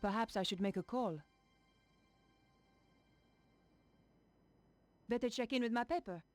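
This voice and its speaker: A woman's voice: a little high, quick pace, faint music behind, lightly acted. A woman playing a determined, stubborn young investigative reporter in an adventure game. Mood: inquisitive. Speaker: game protagonist and narrator.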